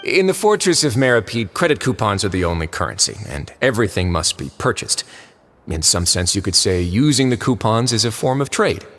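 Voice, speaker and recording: A man voicing a calm, composed male prison warden in a video game, measured and wry as he reasons things out. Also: lowish voice, a little hiss or hum. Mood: reasoning. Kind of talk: game voice acting.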